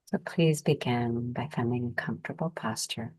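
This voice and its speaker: Very low-voiced woman guiding a meditation. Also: husky, no echo. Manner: calm, soothing, unhurried phrasing with pauses. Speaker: meditation guide. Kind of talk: guided meditation, gentle spoken instruction.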